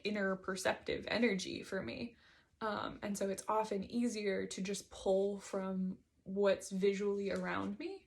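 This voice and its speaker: A woman's voice: mid-range voice, gravelly. A woman giving a reflective solo monologue, hesitant and digressive as she thinks aloud about her writing. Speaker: host reading and discussing own writing.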